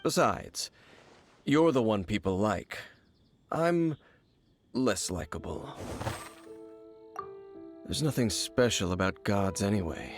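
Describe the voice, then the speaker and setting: A man voicing an aloof, guarded warrior in a video game, speaking in a grave, measured and formal manner. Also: lowish voice, lively intonation, soft background music. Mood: aloof, cautionary.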